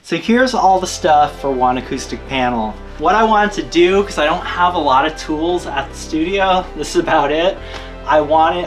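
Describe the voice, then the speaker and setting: A man giving a casual, easygoing hands-on tutorial as the host of a DIY build video. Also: fairly high pitch. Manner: informal, easygoing.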